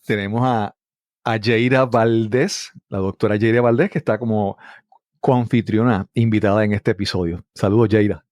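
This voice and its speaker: A man hosts a conversational interview. His voice sits a little low and sounds dry and close. He often seeks agreement as he goes. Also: steady tone, normal speed, darkish tone, no room sound. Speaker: podcast host.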